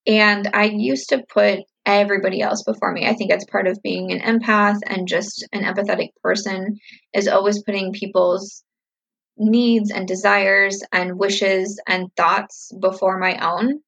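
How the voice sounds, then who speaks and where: Casting: thirties woman, vlogger doing casual Q&A, husky tone, slightly muffled lo-fi recording. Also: medium pitch, flat delivery, unhurried, fairly bright tone. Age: 30s. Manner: upbeat, chatty, reflective with many fillers. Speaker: vlogger answering viewer questions. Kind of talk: casual Q&A chat to camera.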